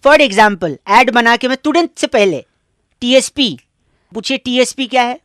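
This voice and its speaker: A man making a comic prank phone call, with cheeky deadpan salesman patter that teases the person on the line. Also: extremely high pitch, measured pace, dry recording, studio quality. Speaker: prank caller, radio jockey.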